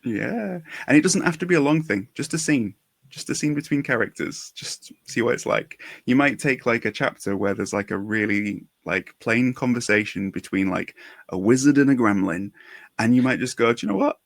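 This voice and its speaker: A man's voice: mid-range voice, normal speed, vocal fry, no room sound. A man hosting a casual podcast chat in an encouraging, enthusiastic manner. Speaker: podcast host.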